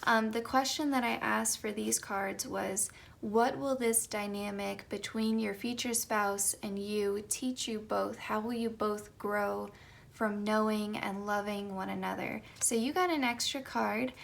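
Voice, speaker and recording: A young woman doing a casual tarot reading. She has just woken up and her voice is slightly raspy, and she talks in a chatty way with soft whispered asides. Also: medium pitch, fairly even intonation, unhurried, slight background noise. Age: young adult. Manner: chatty, light laughs, soft whispered card calls. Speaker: tarot reader.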